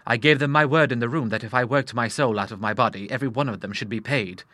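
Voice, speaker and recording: A man's voice: medium pitch, fast talker, a bit bright, dry recording, lightly acted. Man plays a troubled young city man, earnest and formal, dry close-miked read.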